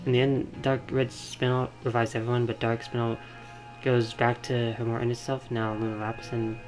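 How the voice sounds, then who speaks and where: A man's voice: medium pitch, level tone, brisk, low-quality recording. Casual male streamer musing over game music. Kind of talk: casual live playthrough commentary. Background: video game music and dialogue.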